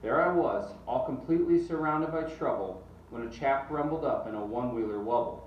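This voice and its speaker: A man reading a children's storybook aloud in rhythmic verse with animated delivery. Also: medium pitch, brisk, dull audio. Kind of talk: expressive storybook read-aloud. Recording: distant mic, roomy echo, faint noise.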